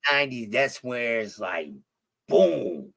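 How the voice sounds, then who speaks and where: Philly guy on a stream, swears a lot, rambles and restarts, mid pitch. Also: big pitch swings, takes it slowly, gravelly, background noise. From Philadelphia. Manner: profane, rambling, with many restarts. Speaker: stream guest. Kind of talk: casual chat.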